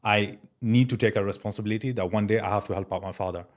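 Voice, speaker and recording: A young man from France talks in a low voice as a podcast guest. His manner is relaxed and casual, and he keeps dropping fillers like "bro" and "man" as he tells his story. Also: quick pace. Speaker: guest entrepreneur. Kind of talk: casual interview chat.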